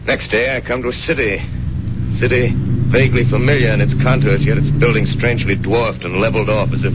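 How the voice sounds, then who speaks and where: Old crackly radio play, lots of noise, guy playing a weary solemn survivor, lowish voice, measured and literary. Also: lightly acted. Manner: measured, grave, literary delivery. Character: weary, solemn learned male survivor wandering ruined land. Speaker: narrator and lead actor. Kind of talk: 1930s radio drama acting. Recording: dull, very noisy old radio recording with road rumble.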